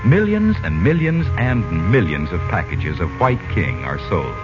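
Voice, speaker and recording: A man announces on a muffled forties radio broadcast with music behind him. His low voice swings widely in pitch as he makes a folksy, conversational sales pitch with dramatic emphasis. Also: very animated, unhurried. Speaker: radio announcer reading sponsor message. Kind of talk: 1940s radio advertising announcement.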